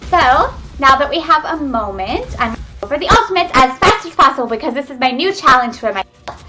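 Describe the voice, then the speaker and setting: A young woman giving excitable, chatty live commentary on a video game match, talking to her viewers from home on a webcam microphone. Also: high-pitched, a little fast, poor audio. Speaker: esports caster. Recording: webcam mic with faint noise.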